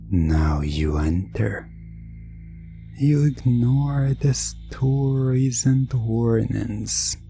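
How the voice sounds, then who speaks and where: Very slow, low, hushed male hypnosis narrator. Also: vocal fry. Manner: slow, drawn-out second-person storytelling with frequent pauses. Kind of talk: scripted hypnosis roleplay narration, ASMR. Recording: music under the voice. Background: background music.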